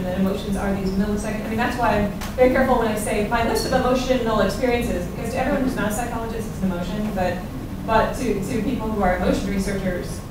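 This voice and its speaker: A woman's voice: fairly high pitch, noisy background. Woman panelist in an echoey hall. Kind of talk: academic panel discussion. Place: conference room. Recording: echoey room from far off.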